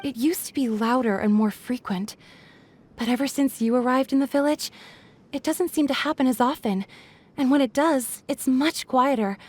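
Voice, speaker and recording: A woman playing a guarded young village woman in a video game, speaking in a hesitant, measured, confiding way. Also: high voice, breathy edge. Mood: confiding, thoughtful. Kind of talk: dramatic video game dialogue.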